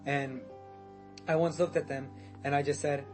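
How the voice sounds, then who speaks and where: A man's voice: on the high side, flat delivery, moderate pace, darkish tone, faint music behind. Rambling young man telling a story. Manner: rambling, frequent restarts, swears, laughs. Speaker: podcast guest. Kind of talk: casual storytelling.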